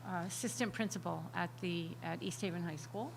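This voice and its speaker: Loud-voiced woman on a table mic. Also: fairly low pitch, fairly quick, vocal fry. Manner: hesitant, many fillers. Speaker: board member. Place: public meeting room. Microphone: table microphone. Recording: table mic with background noise.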